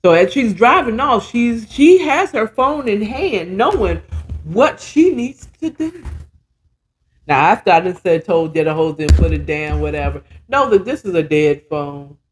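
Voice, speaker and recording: A podcast host with a mid-pitched voice tells a story at a slow, drawn-out pace. The delivery is casual and dramatic, leaning on repeated words for emphasis, with blunt, slangy and sometimes profane commentary. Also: lively intonation, soft background music. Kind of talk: casual, animated retelling of a story chapter with commentary.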